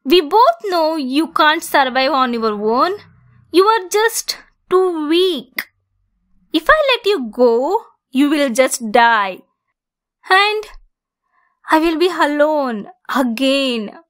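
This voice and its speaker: A woman playing a lonely, obsessive, domineering female centaur in an ASMR roleplay, speaking deliberately and insistently. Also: high voice, animated, a bit bright, exaggerated voice. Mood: agitated, commanding, then insistent, possessive. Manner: slow, deliberate, insistent, clingy.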